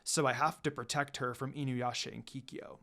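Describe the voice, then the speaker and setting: A man's voice: medium pitch, fairly even intonation, fairly quick, creaky, sharp tone, soft background music, voice pushed down. A man voicing a proud, protective demon lord in a story, a formal and firm but dutiful son. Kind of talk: storybook character reading.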